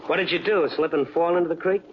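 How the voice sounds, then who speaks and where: A man's voice: on the high side, steady tone, quick pace, highs cut off, background noise, close to an everyday voice. Actor as wisecracking American newspaperman, old radio sound. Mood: questioning, concerned. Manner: wisecracking, hard-boiled. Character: wry, flirtatious male Chicago newspaperman narrating his case. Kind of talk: 1950s radio drama acting, first-person narration. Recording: band-limited old radio recording, prominent music.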